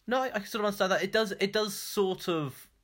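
A British man co-hosting a casual news chat, talking in a hesitant, halting way and hedging as he goes. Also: sits high, fairly bright tone. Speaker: podcast co-host.

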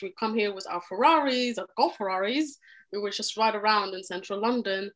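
A woman's voice: mid-range voice, fairly bright tone, low-quality recording. A young adult woman from Egypt, a podcast guest, talking earnestly and with animation in a conversational interview, often restarting her sentences. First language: Arabic.

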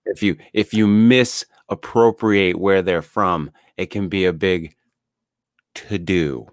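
A man with a very low, deep voice, talking loosely on a podcast. He drifts along with plenty of fillers and cheerful swearing. The sound is close and dry, with no room around it. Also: slow, no room sound. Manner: laid-back, swears freely, lots of fillers. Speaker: podcast co-host. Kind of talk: casual podcast chat.

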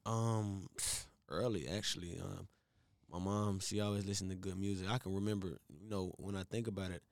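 Young man, slightly raspy, gravelly, laid-back drawl, dry close recording. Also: low-pitched, steady tone, normal speed, dry recording. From Atlanta, USA. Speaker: R&B singer guest. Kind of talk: casual interview chat.

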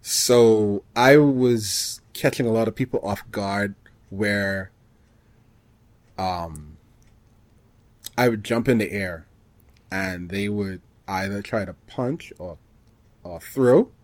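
A man co-hosting a podcast, chatting casually about gaming in a halting way, often rephrasing himself mid-thought. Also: on the low side, no echo. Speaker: podcast co-host.

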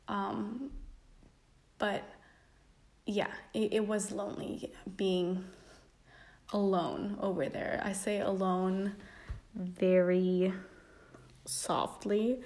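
Chatty young woman with a gravelly voice. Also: medium pitch, a little mellow. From Denmark. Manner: chatty, many fillers and light laughs. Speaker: vlogger. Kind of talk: casual reflective chat.

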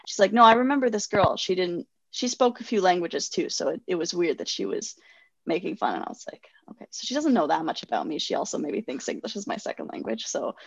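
Animated young woman telling a story. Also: fairly low pitch, quick pace, gravelly, breathy edge, dry recording, highs cut off. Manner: animated storytelling, self-deprecating, laughs often. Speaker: guest sharing personal stories. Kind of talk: casual chat.

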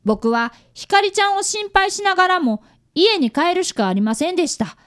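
A woman's voice: extremely high pitch, animated, moderate pace, a bit bright, no room sound, clean studio sound. A woman voicing an earnest fifth-grade boy who is an apprentice detective and narrates a radio drama. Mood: earnest narrating. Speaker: narrator and actor.

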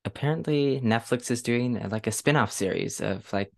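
A man's voice: mid-range voice, moderate pace, creaky. A man hosting a casual interview podcast in an easygoing, agreeable, informal way. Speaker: podcast host.

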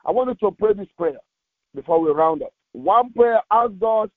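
A man's voice: sits high, lively intonation, moderate pace, no echo. A man preaching and leading fervent prayer as a pastor, insistent and repetitive, delivering it phrase by phrase. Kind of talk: fervent Pentecostal prayer sermon with exhortation. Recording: thin, phone-like radio sound.

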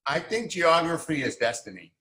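Guest, male, grew up in Puerto Rico, animated talker with a slightly high voice, roomy echo. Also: moderate pace. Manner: animated, thoughtful, many restarts. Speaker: guest, arts and Spanish teacher. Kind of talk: casual podcast conversation.

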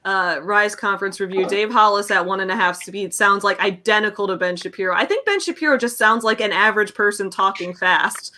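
A woman's voice: mid-range voice, a little fast, few pauses, sharp tone. A woman writer and editor chatting casually on a stream, agreeable and wry.

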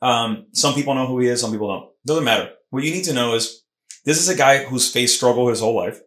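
A man's voice: mid-range voice, fast talker, a bit bright. A man hosting a casual sports podcast, talking emphatically with lots of restarts. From Puerto Rican heritage. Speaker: podcast host. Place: Philadelphia podcast studio room. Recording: roomy echo.

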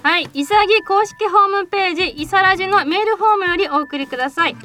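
A woman hosting a web radio show with a relaxed, rambling manner. Her voice sits high, and loud music runs underneath. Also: fast talker. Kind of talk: casual chat. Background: background music.

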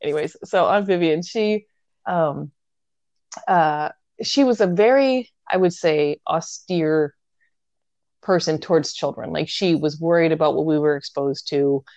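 A young adult woman telling family stories in casual chat with her sister, animated and full of fillers. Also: low-pitched, unhurried, no room sound, dull audio. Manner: animated storytelling, many fillers, laughs often.

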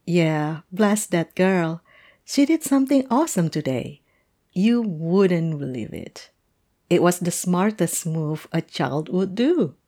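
A woman playing a playful, chatty teacher telling a colleague a story in an animated, amused, conversational audio roleplay. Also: on the low side, slow, full character voice. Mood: fond, chatty. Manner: animated, amused, punctuated with chuckles.

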